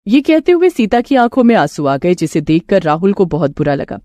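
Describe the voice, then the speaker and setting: A woman storyteller reading a scripted moral tale in an expressive, dramatic way. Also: lowish voice, fairly quick, dry recording, clean studio sound.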